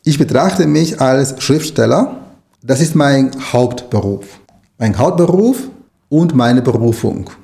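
A man's voice: mid-range voice, animated, takes it slowly, vocal fry, dark tone. A middle-aged man from Nicaragua, a native Spanish speaker, answering interview questions as a writer and language lecturer. He talks in a thoughtful way, with many restarts and fillers.

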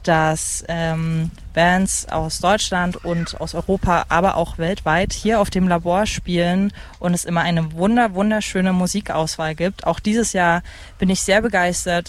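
Festival radio host, thirties, medium pitch, enthusiastic, casual, gropes for words. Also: fairly even intonation, unhurried. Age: 30s. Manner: enthusiastic, hesitant searching for words. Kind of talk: casual live radio chat. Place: open lounge area at a festival site. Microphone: broadcast microphone.

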